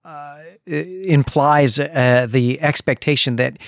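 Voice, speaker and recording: A man's voice: a little high, takes it slowly, creaky, no room sound, studio quality. A man hosting a podcast, giving unscripted literary analysis in long, explanatory sentences. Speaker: podcast host.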